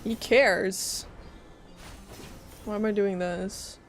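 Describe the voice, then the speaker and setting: A woman's voice: medium pitch, steady tone, extremely slow, soft background music. A woman chatting casually on a game livestream, joking and talking back to the characters.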